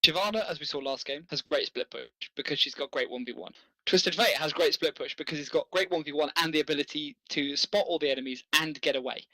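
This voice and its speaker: A man's voice: fairly high pitch, fast, hardly any pauses, pushed and bright, no echo, low-quality recording. A man casting a video game match, chatting through strategy with enthusiasm and the odd chuckle. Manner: enthusiastic, analytical, chuckles often. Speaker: esports caster. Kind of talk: casual game commentary.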